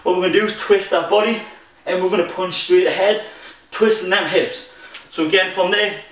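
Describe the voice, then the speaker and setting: A young man with a thick Geordie accent, coaching a home workout from his front room, talking fast and restarting sentences when he gets excited. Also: high voice. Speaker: fitness coach. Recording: echoey room, voice from far off.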